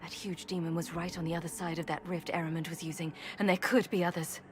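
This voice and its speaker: A woman's voice: lowish voice, flat delivery, fast talker, slight background noise, lightly acted. An actress playing a proud, defiant, grimly determined woman warrior in a fantasy video game. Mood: wary, wry.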